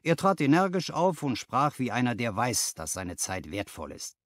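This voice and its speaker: A man narrating a scripted first-person story as an expressive audiobook reader. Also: medium pitch, moderate pace, no echo.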